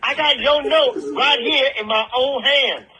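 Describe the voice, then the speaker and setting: A high-pitched American woman, played by an actress, scolds and accuses over a prank call. She is pushy, sassy and confrontational. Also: unhurried, pushed and bright. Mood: accusing, scolding. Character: pushy, accusing woman whose car was hit. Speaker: recorded prank-call character. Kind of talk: scripted prank phone call.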